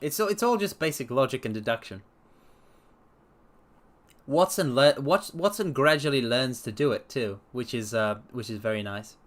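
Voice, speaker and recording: A man giving an expressive dramatic reading of a story to viewers, with casual asides. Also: mid-range voice, normal speed. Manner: expressive, animated.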